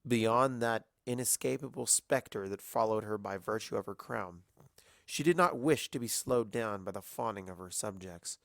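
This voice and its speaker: A man's voice: fairly low pitch, moderate pace, creaky, a bit bright, dry recording, clean studio sound. A man narrating a scripted story as a reader of fiction.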